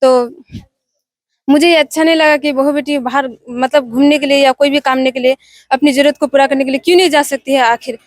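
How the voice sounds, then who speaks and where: A young woman talks in a very high voice with hardly any room echo. She shares her impressions in an informal, halting way, reaching for words and rephrasing as she goes. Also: fairly even intonation. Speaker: film festival participant. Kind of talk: informal spoken film review.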